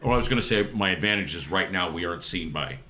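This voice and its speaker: A man's voice: low-pitched, expressive, fast, sharp tone. A man chatting casually as a player in a tabletop role-playing game.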